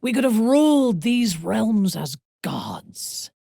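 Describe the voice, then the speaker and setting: A woman playing a proud, ruthless dark elf noblewoman in a video game, speaking formally and deliberately with a sardonic, coolly menacing manner. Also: a little high, big pitch swings, gravelly, dry recording, clean studio sound, full character voice. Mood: resolute, grave. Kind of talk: video game character voice acting.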